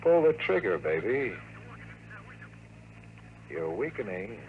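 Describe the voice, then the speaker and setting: A man's voice: on the low side, big pitch swings, slow, narrow, tinny audio, full character voice. An actor playing a streetwise, impatient tough guy in 1950s radio drama, with clipped, sardonic, slangy talk. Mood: sardonic, taunting. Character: tough, wry, streetwise hardboiled man, impatient. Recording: noisy old radio drama recording.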